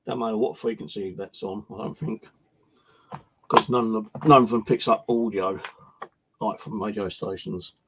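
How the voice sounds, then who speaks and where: A man's voice: fairly low pitch, normal speed, a little hiss or hum, low-quality recording. A British man chatting casually on a livestream as its host, rambling and hesitant in an informal way.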